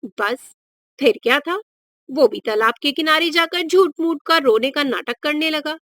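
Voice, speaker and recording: A woman's voice: high-pitched, a little slow, a bit bright, no echo, studio quality. A woman narrating a scripted moral story for children as a storyteller.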